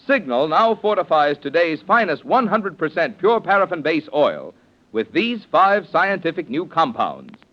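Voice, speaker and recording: High-pitched, animated vintage radio ad man. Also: unhurried. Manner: salesmanlike, emphatic. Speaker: radio announcer. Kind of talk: 1940s radio commercial announcing. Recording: muffled vintage radio recording.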